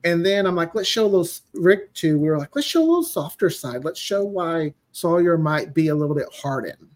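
A man with a somewhat high voice speaks as a guest in a relaxed interview. His delivery is conversational and enthusiastic, like a writer explaining creative work. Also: brisk. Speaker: guest, audio drama writer. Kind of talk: casual interview chat.

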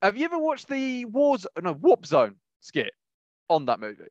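A man with a slightly high voice chats casually on a podcast. He repeats himself for emphasis and voices imagined reactions. The recording is dull and lo-fi. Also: huge pitch swings, normal speed, darkish tone, dry recording. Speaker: podcast co-host. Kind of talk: casual chat.

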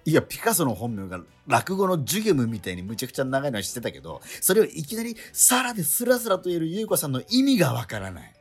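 Incredulous schoolboy straight man, swooping pitch. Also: sing-song, breathy edge, faint music behind, exaggerated voice. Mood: baffled, exasperated. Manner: incredulous, quibbling, rapid retorts. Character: exasperated male schoolmate, the straight man of a comedy duo. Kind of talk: comedic radio drama dialogue.

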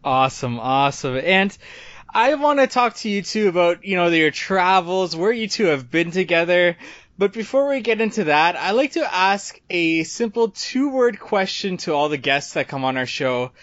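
Upbeat high-voiced male podcast host. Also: lively intonation, moderate pace, fairly bright tone, no room sound, muffled recording. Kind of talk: scripted intro then interview questions.

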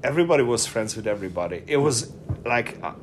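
A man's voice: medium pitch, lively intonation, fast, noisy background. A middle-aged man from Hungary with a Hungarian accent, a guest chatting casually in an interview, hesitant and full of fillers and restarts. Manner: hesitant, many fillers and restarts, laughs often.

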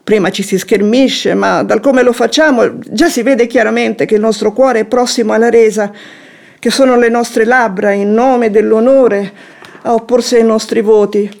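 An actress playing a shrewd, composed wife who feigns affection, with formal, eloquent theatrical phrasing in a radio drama. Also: female voice, fairly high pitch, steady tone, moderate pace, gravelly, darkish tone. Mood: coy, confiding. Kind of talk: theatrical radio drama acting of classic comedy.

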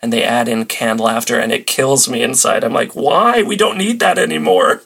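A man chatting casually as a podcast guest, animated and given to acting out little quotes and sound effects. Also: mid-range voice, moderate pace, vocal fry, slightly rough. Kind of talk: casual chat.

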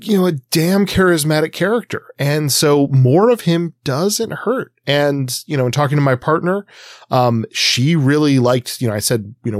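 A man chats as a podcast co-host, giving casual critique in a voice of average pitch. He is informal and opinionated, and the recording is tight and dry with no room sound. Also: dry recording.

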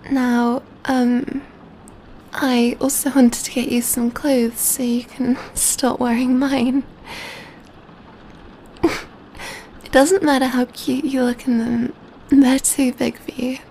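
A woman playing a gentle, doting female vampire in an ASMR roleplay, speaking softly and tenderly into a close mic, often near a whisper. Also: sits high, little pitch movement, slow, slight background noise, rough recording. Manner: tender, cooing, soothing, often dropping to whispers. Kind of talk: ASMR roleplay, soft intimate speech.

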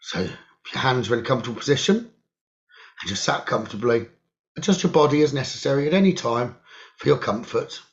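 A man leading a guided meditation in a slow, soothing instructional style, speaking in measured, hushed short phrases with pauses. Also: medium pitch, animated, dull audio.

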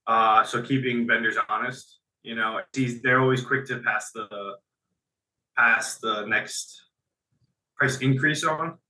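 A man with a very bright, forceful tone answers interview questions at a medium pitch. He talks conversationally and keeps restarting and repeating phrases. The sound is echoey, as if picked up in a bare room. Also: steady tone, takes it slowly. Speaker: business guest.

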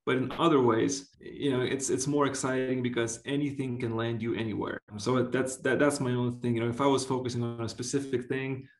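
Lithuanian man, gravelly edge, roomy sound. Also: medium pitch, fairly even intonation, fairly quick, few pauses. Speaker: interview guest, basketball executive. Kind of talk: casual interview answers.

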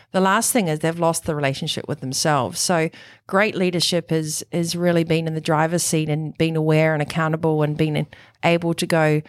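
A middle-aged woman with a New Zealand accent, a coach answering interview questions in an energetic, upbeat way. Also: deep voice, no echo, clean studio sound. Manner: energetic, upbeat, full of catchphrases. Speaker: guest, performance coach and author. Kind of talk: enthusiastic interview talk.

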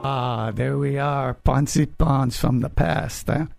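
A man's voice: mid-range voice, fairly even intonation, slow, gravelly, over loud music. A man interviewing a guest, prompting him with friendly questions. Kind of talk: casual interview.

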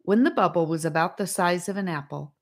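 Female audiobook narrator, low register, scripted storytelling for a children's fantasy. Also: brisk.

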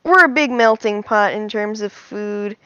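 A woman from Ireland, chatting casually on a podcast, halting with frequent ums. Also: medium pitch, sharp tone, clean tone. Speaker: podcast co-host. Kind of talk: casual chat. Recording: dull lo-fi mic.

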